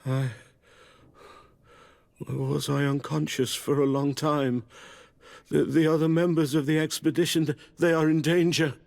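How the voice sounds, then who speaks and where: A man playing a frightened, wounded researcher priest in a video game, weak and halting, with stammers. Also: fairly high pitch, level tone, gravelly, darkish tone. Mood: dazed, frightened. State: weak, shaken. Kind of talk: video game dialogue acting.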